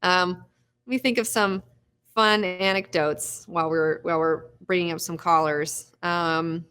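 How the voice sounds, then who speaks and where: A woman's voice: fairly low pitch, steady tone, measured pace, fairly bright tone, smooth. A young woman hosting a live recap show, chatting casually, laughing often and swearing freely.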